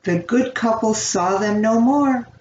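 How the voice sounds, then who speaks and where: An older woman reading a children's fairy tale aloud as a storyteller, with a gentle, unhurried delivery. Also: on the high side. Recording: roomy, distant, dull home recording, faint noise.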